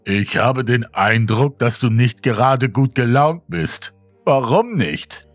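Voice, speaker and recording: Casting: male narrator as creepy, ever-smiling homeless man, raised pitch, sugary drawn-out lines, horror audiobook, music underneath. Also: lively intonation, no echo. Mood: gleeful, polite. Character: eerily cheerful ragged homeless man with rainbow umbrella.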